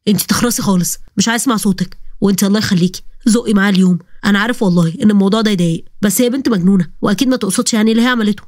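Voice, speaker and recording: امرأة تؤدي عريسًا شابًا غاضبًا بلهجة مصرية. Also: female voice, mid-range voice, very fast, hardly any pauses, darkish tone, no echo, clean studio sound, voice pushed down, natural voice. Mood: angry scolding. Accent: Egyptian. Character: angry young groom, harsh and scolding.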